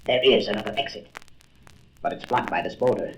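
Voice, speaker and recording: A man's voice: on the low side, fairly quick, fairly bright tone, close to an everyday voice. A man playing a frail, earnest, anxious doctor who thinks aloud dramatically in a comic-book audio drama. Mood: panicked, despairing. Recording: faintly noisy old record.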